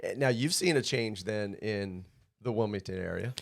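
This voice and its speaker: A man's voice: on the low side, normal speed, gravelly. A man hosting a casual surf podcast in a studio, upbeat and chatty, laughing often. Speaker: podcast host.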